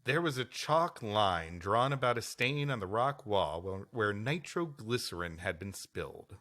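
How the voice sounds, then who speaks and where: A man's voice: fairly low pitch, expressive, a little slow, pushed and bright. A man co-hosting a comedy podcast, moving between scripted storytelling and bantering chat.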